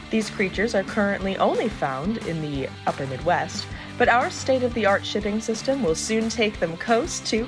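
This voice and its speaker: A woman's voice: mid-range voice, natural voice. Corporate spokeswoman played by a woman, polished and upbeat promo read, very varied melody, strong music bed. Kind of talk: scripted corporate promo narration.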